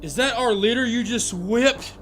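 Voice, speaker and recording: An actor playing an ignorant, brash soldier, outraged and accusatory, in a comedy audio drama. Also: male voice, extremely high pitch, moderate pace, fairly bright tone, faint music behind. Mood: accusing.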